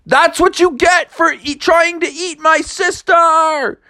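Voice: mid-range voice, measured pace, fairly bright tone, no room sound, studio quality, pitched above the performer's own voice. A storyteller voicing a plucky, bossy young male frog with an emphatic surfer-dude manner. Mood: defiant. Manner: emphatic, cocky surfer slang.

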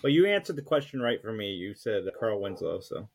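A man's voice: lowish voice, very animated, a little fast, creaky. A man speaking as a podcast guest in casual, opinionated chat.

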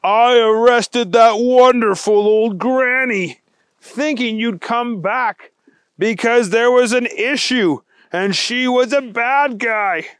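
A man's voice: very high voice, takes it slowly, clear, resonant tone, dry recording, clean studio sound, pitched above the performer's own voice. A man voicing an earnest, confused and hapless special agent in a children's story. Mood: dismayed.

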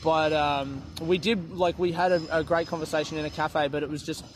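A young-sounding man with a slightly high voice, swearing freely and mocking himself as he rambles. He talks while walking outdoors, with faint ambience behind him. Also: steady tone, brisk, a little hiss or hum. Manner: sweary, self-mocking, laughs often. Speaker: comedian podcaster. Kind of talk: casual rambling monologue. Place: outdoors, walking through a park.

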